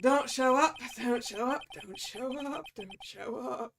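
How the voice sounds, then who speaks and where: A woman voicing a gruff, chummy, easily flustered male detective with a rough London accent, reading out a video game. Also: high voice, a little slow, a bit bright, a little hiss or hum. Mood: anxious, emphatic. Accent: London cockney, slipping towards Scottish. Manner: blustering, ends remarks with "pal".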